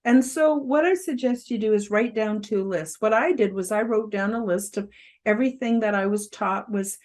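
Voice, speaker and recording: Older lady around 70 talking to camera, loud voice that carries, lots of ums, mid pitch. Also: normal speed. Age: about 70. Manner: conversational with frequent fillers, emphatic rises. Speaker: host giving a self-help presentation. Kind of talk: casual spoken presentation to camera.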